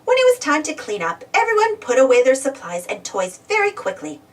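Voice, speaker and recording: A woman narrating a children's story aloud in an animated read-aloud style. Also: high voice, fairly quick, far from the mic. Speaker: storybook reader.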